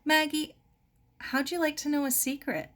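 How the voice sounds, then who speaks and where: Woman voicing an emphatic, high-pitched earnest young girl. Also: flat delivery, normal speed, a bit bright. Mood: eager, confiding. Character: young girl, earnest, anxious and bossy with her dog. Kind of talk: storybook character dialogue.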